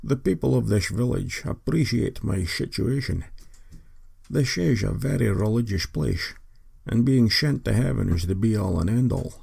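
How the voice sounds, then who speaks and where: A man voicing a smug village sin eater who speaks in a husky Sean Connery-style Scottish accent. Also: lowish voice, animated, measured pace, dark tone, full character voice. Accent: Scottish, a Sean Connery-style impression. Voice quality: gravelly, husky. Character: gravelly middle-aged male village sin eater, smug. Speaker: interviewee. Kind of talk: comic audio fiction interview.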